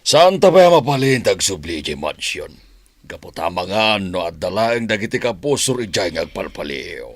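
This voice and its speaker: A man's voice: sits low, sing-song, normal speed, no echo. A man playing a scheming husband plotting an escape, in a radio drama style. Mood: reassuring. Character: scheming husband planning escape with hidden money.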